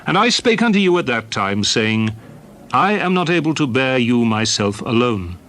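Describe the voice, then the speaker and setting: A man playing Moses as a solemn leader addressing his people in a dramatized scripture reading, speaking in deliberate, emphatic oratory. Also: mid-range voice, very animated, a bit bright. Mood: solemn, commanding. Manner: declamatory, deliberate, emphatic phrasing.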